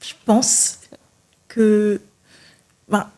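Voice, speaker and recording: A young woman in her early twenties with a dark, relaxed voice at an average pitch. She is from France and talks casually as a podcast guest, feeling her way through her answers in informal phrasing. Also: drawn-out words. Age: early 20s. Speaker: podcast guest, judo athlete. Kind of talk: casual interview talk.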